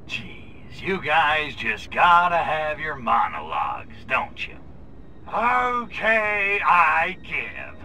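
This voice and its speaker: An actor voicing a cocky grey alien invader with a brash, wisecracking, crude manner in a video game cutscene. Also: male voice, high voice, sharp tone, slight background noise, full character voice. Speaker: protagonist.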